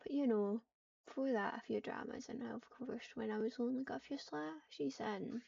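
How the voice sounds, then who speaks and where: A woman's voice: on the high side, moderate pace, vocal fry, slightly rough, heavily acted. Woman voicing a sly, sinister loner cat, distant. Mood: sly, menacing. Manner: sinister, dropping into hushed tones. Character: sly, menacing female wandering loner cat. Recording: dull, lo-fi, distant microphone.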